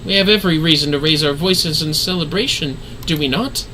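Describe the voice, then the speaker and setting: A man's voice: fairly high pitch, fairly quick, bright, forceful tone, a little hiss or hum, low-quality recording. A man voicing a refined, earnest woman leader in a fantasy game, speaking in formal, solemn, archaic phrasing. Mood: solemn, stirring.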